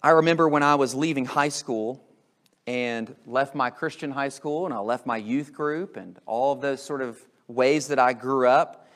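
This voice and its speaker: A man with an American accent preaching an Easter sermon as a pastor, emphatic and full of rhetorical questions, swinging between loud and hushed delivery. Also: mid-range voice, moderate pace. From United States.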